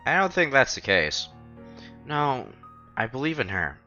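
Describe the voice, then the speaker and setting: Guy streamer reading a visual novel out loud, super lively pitch, slightly dull mic, faint music behind. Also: a little slow. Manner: animated, acts out protagonist's lines. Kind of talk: dramatic read-aloud with casual asides. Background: soft game music.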